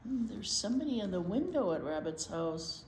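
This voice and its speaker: A woman hosting a children's storytime, reading aloud expressively with drawn-out words and asides to her young listeners. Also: medium pitch, very animated, a little mellow. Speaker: storytime host, teacher. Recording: roomy echo, clear background noise.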